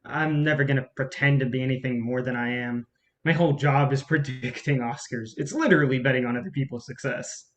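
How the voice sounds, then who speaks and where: A man's voice: medium pitch, fairly quick, lightly acted. Young male film-awards nerd, played by an actor: mid voice, earnest, unsure of himself, play-reading delivery. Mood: earnest, self-effacing. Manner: hesitant, sincere. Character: earnest, self-effacing young male awards-predictor assistant.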